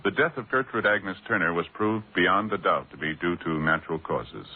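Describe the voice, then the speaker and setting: A man announcing formally for a vintage radio drama, in measured, solemn scripted narration. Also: sits low, expressive, phone-quality sound, over loud music. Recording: old band-limited radio broadcast.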